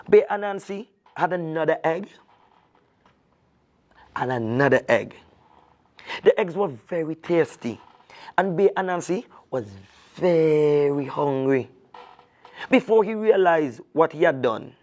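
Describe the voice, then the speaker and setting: A man speaking as a reading ambassador in an expressive children's storytelling style, deliberate, with dramatic pauses. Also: fairly high pitch.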